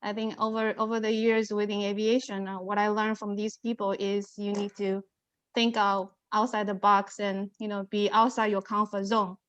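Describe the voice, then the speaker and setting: A woman's voice: medium pitch, level tone, normal speed, clean tone, no echo. A middle-aged woman, a non-native English speaker with an accent, speaking earnestly and reflectively as a panelist giving career advice. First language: not English. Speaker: panelist, airport engineer.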